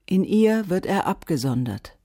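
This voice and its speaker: A woman with a standard German accent narrates a scripted literary radio piece in a solemn, deliberate manner. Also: fairly low pitch, very animated, very noisy.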